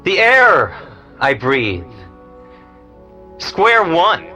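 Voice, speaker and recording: A man's voice: high voice, sing-song, drawn-out words, bright, forceful tone, soft background music. A man in his forties, a poet and musician, speaking in a studio. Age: 40s. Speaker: poet, musician and cable show producer. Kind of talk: poetry recital and interview talk. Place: equipment-packed studio.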